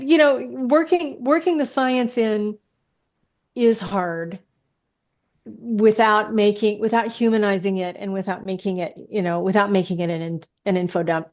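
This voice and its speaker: Frau im Podcast, dumpfer Lo-Fi-Klang. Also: female voice, mid-range voice. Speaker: science fiction author, podcast guest. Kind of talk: casual podcast conversation. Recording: dull, lo-fi sound.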